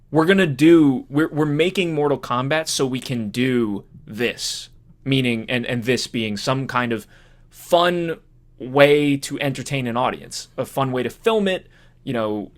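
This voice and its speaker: A man co-hosting a casual movie discussion podcast, talking informally and thinking out loud in a halting way. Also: medium pitch. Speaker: podcast co-host.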